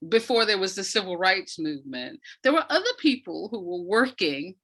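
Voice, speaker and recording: A woman's voice: medium pitch, sing-song, moderate pace, a bit bright. A woman giving reflective, conversational explanations as an interview guest, thoughtfully searching for her words. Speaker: academic and audio dramatist, interview guest.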